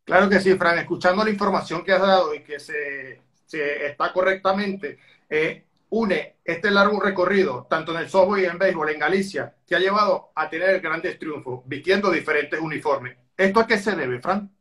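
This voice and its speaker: A man's voice: a little high, normal speed, a bit bright. A man hosting an online interview over a video call from a dining room at home, speaking formally like an announcer. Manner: formal, announcer-like, with baseball flourishes. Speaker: interviewer, channel host. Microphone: webcam on a video call.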